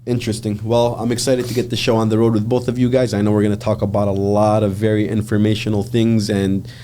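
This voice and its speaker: A man from Michigan hosting a podcast, his voice low and mellow. He talks in a loose, chatty way, often pausing on fillers. A faint hiss sits under the recording. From Michigan, USA. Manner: relaxed, chatty, many fillers and restarts. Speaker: podcast host. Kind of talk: casual interview chat.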